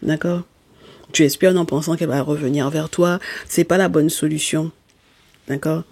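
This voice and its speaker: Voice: mid-range voice, steady tone, moderate pace, a little mellow, breathy edge, no echo. A tarot card reader who is not a native speaker of the language. They talk straight to viewers in an emphatic, scolding way, often stopping to check in with "okay?". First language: not French. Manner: speaks directly to listeners with terms of endearment. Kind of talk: spoken tarot reading addressed to viewers.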